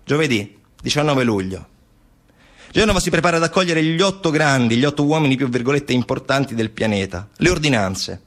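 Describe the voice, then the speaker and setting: A man's voice: medium pitch, a bit bright. A man performing a satirical theatrical narrative monologue as actor-narrator, ironic, with short declarative phrases and rhetorical questions.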